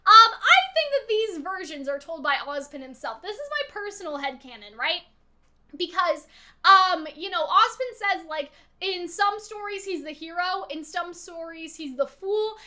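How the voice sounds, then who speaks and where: Female vlogger, strikingly high voice with a sharp bright edge, emphatic casual commentary, freely sweary. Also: expressive, measured pace. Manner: emphatic, exasperated, swears freely, often checks in with "right?". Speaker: vlogger, story analyst. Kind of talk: casual, opinionated story commentary.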